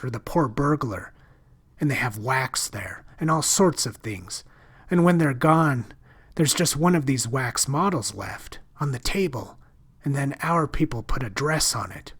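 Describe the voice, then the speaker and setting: A man's voice: medium pitch, a bit bright, breathy edge. A man reading a story, voicing a timid, frightened young woman with breathless, whispery speech.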